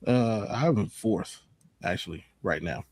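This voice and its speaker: A man's voice: lowish voice, expressive, measured pace, a little mellow, dry recording. A man on a sports talk panel, casually reasoning through his opinions off the cuff in an analytical way.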